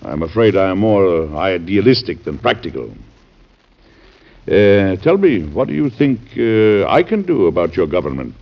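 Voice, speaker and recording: A man playing a dignified, cautious American president in old-time radio drama, speaking deliberately with some hesitation. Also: sits low, very animated, exaggerated voice. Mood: thoughtful, sympathetic. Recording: muffled vintage radio recording.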